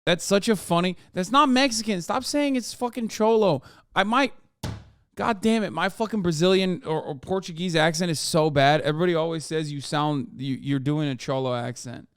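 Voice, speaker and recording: A man's voice: sits high, normal speed, smooth, no room sound, clean studio sound. A man streaming live, reacting to clips and articles in a blunt, profane, self-mocking way.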